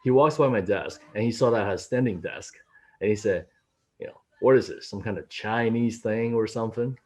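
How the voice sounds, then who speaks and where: A man in his late thirties from Taiwan, speaking from home over a video call. His voice is a little low and husky, and his stories get animated, with his pitch leaping up whenever he quotes someone. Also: fairly quick. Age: late 30s. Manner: animated storytelling, voice jumps up when quoting. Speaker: panelist. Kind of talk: casual discussion on a video call. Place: home room. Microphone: laptop mic on video call.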